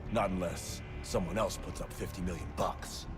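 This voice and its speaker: A man's voice: low voice, moderate pace, music in the background, natural voice. An actor playing a cold, menacing male mercenary assassin who speaks with dry, cool menace.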